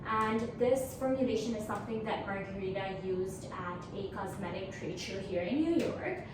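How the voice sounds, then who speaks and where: A woman's voice: medium pitch, moderate pace. A young woman from Pakistan with a Pakistani accent, co-hosting and explaining a science lesson in an earnest way, with frequent ums. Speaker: co-host, chemistry graduate student. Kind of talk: casual educational chat. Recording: echoey room, mic far off, noisy.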